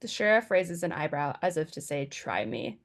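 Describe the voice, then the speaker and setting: A woman's voice: sits low, big pitch swings, moderate pace. A woman narrating stage directions from a script at a table read.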